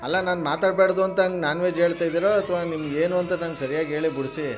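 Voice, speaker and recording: A man's voice: a little high, fairly even intonation, racing, music in the background. A man doing a prank phone call in casual, colloquial talk, insistent and pleading. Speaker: radio host making prank calls.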